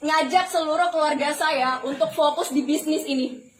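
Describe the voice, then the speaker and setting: High-voiced young woman giving an echoey testimonial. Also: moderate pace. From the Philippines, Malaysia and Indonesia. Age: 20s. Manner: emphatic, with rhetorical questions and repetition. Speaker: flight attendant giving a testimonial. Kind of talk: motivational testimonial to an audience. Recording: echoey, noisy room.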